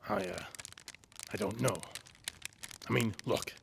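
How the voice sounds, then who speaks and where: A man acting a shifty, menacing neighbour with a guilty secret in an old-time radio drama, halting and evasive. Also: fairly low pitch, vocal fry, a little hiss or hum, heavily acted. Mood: nervous, coaxing.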